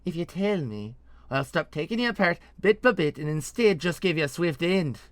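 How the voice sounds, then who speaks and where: A man voicing a ruthless, sharp-tongued mercenary woman who mocks and menaces, with a put-on accent, in a fantasy story. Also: fairly high pitch, lively intonation, moderate pace, dry recording, pitched above the performer's own voice, lightly acted. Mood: coolly threatening. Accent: put-on foreign fantasy accent.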